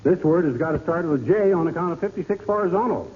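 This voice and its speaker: A male actor plays a stubborn, bumbling American husband in a 1940s radio comedy. His voice is fairly high and very animated, full of folksy bluster and slang. The old recording is narrow and muffled, with faint hiss. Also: fast talker, lightly acted. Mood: cocksure.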